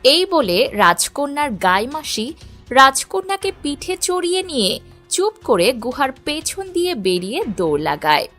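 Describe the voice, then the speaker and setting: A woman's voice: sits high, sing-song, moderate pace, sharp tone, faint music behind. A woman narrating a scripted children's story as the narrator.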